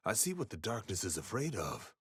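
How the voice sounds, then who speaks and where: Deep, husky brooding gunslinger. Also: male voice, very animated, fairly quick, fairly bright tone, no echo, studio quality, exaggerated voice. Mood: gruff affection. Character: stoic male gunslinger, devoted husband, brooding and gruff. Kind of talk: video game character voice lines.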